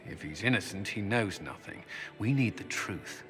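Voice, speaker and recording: A firm, practical commander, his low voice carrying a bright, forceful edge that matches his blunt way of speaking. Faint music runs beneath the dialogue. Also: expressive, normal speed. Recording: game dialogue over music.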